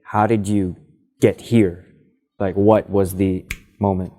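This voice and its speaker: A man hosting a casual interview, asking quick, friendly questions in an upbeat manner. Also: sits low, level tone, warm tone. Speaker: host, interviewer. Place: outdoors, karaoke nearby.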